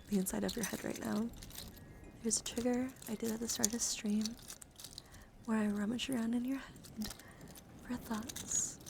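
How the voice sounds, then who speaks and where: A woman chatting softly to livestream viewers in ASMR style, breathy and often whispering, with a gentle, soothing, intimate manner close to the mic. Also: on the high side, noisy background. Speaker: live streamer hosting ASMR. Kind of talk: ASMR, casual chat with viewers.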